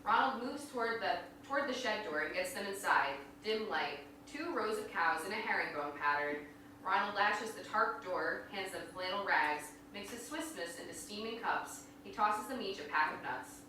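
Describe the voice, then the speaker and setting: A woman's voice: medium pitch, expressive, moderate pace, sharp tone. A woman reading stage directions as scripted narration at a staged play reading. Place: indoor venue, staged reading. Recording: very echoey room from far off, noisy.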